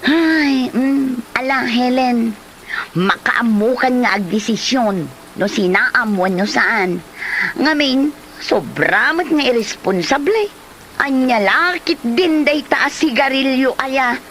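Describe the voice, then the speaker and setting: A woman's voice: high voice, expressive, takes it slowly, a bit bright, slight background noise, heavily acted, poor audio. A woman playing a frank, indignant friend in a comedy drama, emphatic and exclamatory, with a Filipino accent. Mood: incredulous indignation.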